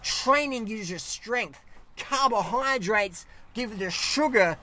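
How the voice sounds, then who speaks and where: A man's voice: high voice, takes it slowly. A young Australian man ranting bluntly and swearing often, talking to a camera on the dashboard of his parked car. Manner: blunt, swears a lot, animated hand gestures. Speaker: vlogger. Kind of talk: casual ranting vlog.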